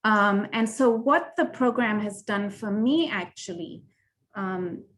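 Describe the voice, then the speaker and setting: A middle-aged woman, speaking on a panel in an earnest, animated way. Her voice sits at a middle pitch for a woman. She is heard over a video call. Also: takes it slowly, slight background noise. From Kenya and Tanzania. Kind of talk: panel discussion.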